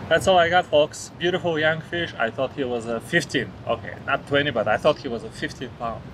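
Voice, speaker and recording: A man's voice: mid-range voice, a little fast. A man on a small boat, cheering on the fish he hooks and talking to his viewers. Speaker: fishing vlogger. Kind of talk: excited commentary while fishing. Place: small fishing boat on a river, outdoors. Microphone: camera mounted on the boat. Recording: noisy outdoor boat recording. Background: traffic and outdoor noise.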